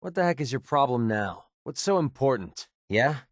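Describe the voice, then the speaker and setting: A man's voice: mid-range voice, very animated, normal speed, dry recording, studio quality, lightly acted. A man voicing a cocky, sardonic young mercenary companion in a video game, casual and wry, loyal underneath. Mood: wry, impatient. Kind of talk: video game voice acting, short companion lines.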